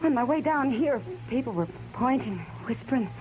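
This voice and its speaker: An actress playing an anxious, pleading young widow in a melodramatic old radio western. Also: female voice, high voice, animated, moderate pace. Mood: pleading, bitter. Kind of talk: 1950s radio melodrama acting. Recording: muffled, faintly noisy vintage radio recording.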